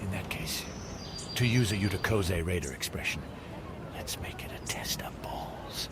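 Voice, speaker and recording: A man playing a battle-hungry, cocky alien warrior in a dramatic story reading, gravelly and taunting. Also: low voice, lively intonation, measured pace, whispered. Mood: goading. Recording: noisy street-like ambience.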